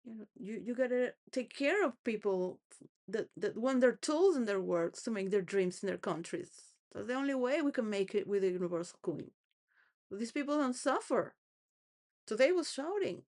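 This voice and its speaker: A woman's voice: a little high, lively intonation, moderate pace, darkish tone. A woman rambling through a personal monologue, full of restarts and wandering thoughts, sometimes laughing between phrases. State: homeless.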